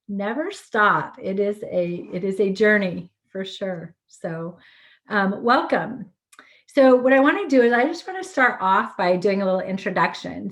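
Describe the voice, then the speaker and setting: Woman, interview host, encouraging, video call audio, mid pitch. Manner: encouraging, warm praise with fillers. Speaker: interviewer for a professional association.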